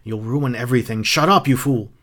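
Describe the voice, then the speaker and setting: A man's voice: medium pitch, fairly quick, close to an everyday voice. A male reader plays an old man secretly advising someone in a novel. He is anxious and insistent, scolding in short urgent commands and pleading for caution. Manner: urgent, hushed-word pleading, imperative. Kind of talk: audiobook character acting.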